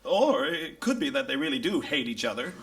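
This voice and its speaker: A man's voice: mid-range voice, sing-song, fairly quick, loud music behind the voice. A man playing an eager, naive and earnestly preachy space crewman in a live sci-fi radio comedy. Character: eager, earnest, naive high-voiced male space-crew sidekick.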